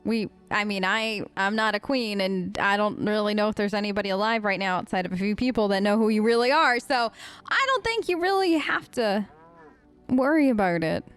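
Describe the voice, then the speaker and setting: A woman's voice: mid-range voice, a little fast, a bit bright, clean tone, soft background music. A woman let's-player improvising casual role-play replies in a chatty, rambling, playful way.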